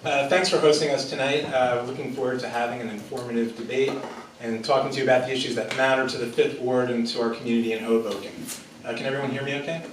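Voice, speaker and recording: A man's voice: medium pitch, fairly even intonation, fast, background noise. Male candidate, earnest filler-laden speech, distant, reverberant hall. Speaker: political candidate. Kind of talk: opening statement at a debate. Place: theater stage before a live audience. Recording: echoey theater from far off.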